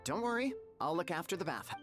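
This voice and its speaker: A woman's voice: on the low side, sing-song, a little fast. A woman voicing a practical, reassuring bathhouse worker in a video game, matter-of-fact in manner. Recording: music clearly behind the voice.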